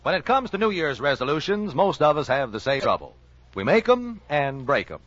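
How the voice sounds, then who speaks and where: Lively male announcer on vintage radio. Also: a little high, moderate pace, heavy background noise. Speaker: commercial announcer. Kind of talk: 1940s radio ad read. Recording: dry, dull old radio recording.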